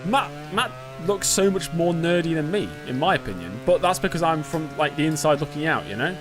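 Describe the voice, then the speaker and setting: A man's voice: on the high side, expressive, fairly quick, over music. Chatty young British man streaming a game. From Britain. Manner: chatty, self-mocking, answers chat aloud. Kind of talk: casual live-stream commentary.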